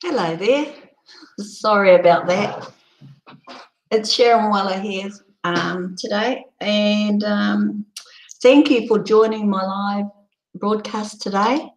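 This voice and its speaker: A woman with a croaky, hoarse voice, hosting a casual live broadcast and rambling with many ums. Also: medium pitch, slow pace, echoey room, low-quality recording. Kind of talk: casual live-stream chat.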